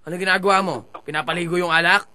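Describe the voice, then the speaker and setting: Menacing Filipino rapper acted by a man, fairly high-pitched and quick, taunting and insulting on a call. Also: steady tone, sharp tone, slight background noise. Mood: taunting, aggressive. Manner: taunting, confrontational, swearing. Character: menacing, insulting male rapper making threats. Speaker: prank caller. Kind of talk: confrontational phone call.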